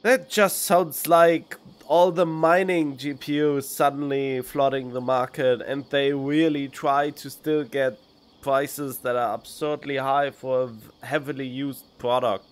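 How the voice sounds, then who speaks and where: A man's voice: medium pitch, fairly even intonation, unhurried. Man doing casual game commentary. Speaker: video game streamer. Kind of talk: casual let's play commentary. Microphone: studio condenser mic. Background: faint game music.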